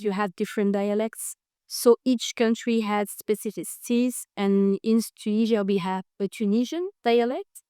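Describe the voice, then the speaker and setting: A woman's voice: on the high side, a little mellow, smooth, no echo, clean studio sound. A woman from France, a guest in an interview, explaining at length in a fluent, casual way with some fillers. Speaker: guest, tour guide.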